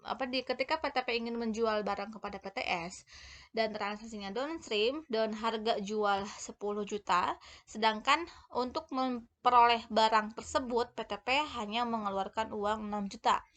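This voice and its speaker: A woman giving an explanatory lecture, talking informally and haltingly as she corrects herself and works through examples. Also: fairly high pitch, a bit bright. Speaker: accounting lecturer.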